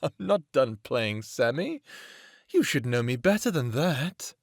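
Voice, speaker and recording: A man's voice: mid-range voice, very animated, unhurried, dry recording, clean studio sound. A man acting a sadistic, playful vampire villain who taunts theatrically in an audio drama. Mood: mocking, taunting. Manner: taunting, theatrical, toying with words.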